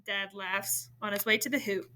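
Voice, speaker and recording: A woman reading a novel in verse aloud, in an expressive storytelling style. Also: mid-range voice, moderate pace, slight background noise.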